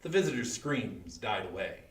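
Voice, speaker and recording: A man telling a horror folktale dramatically, deliberate and suspenseful, with long pauses. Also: lowish voice, big pitch swings. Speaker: storyteller. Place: indoor room, live online performance. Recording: echoey room, distant microphone.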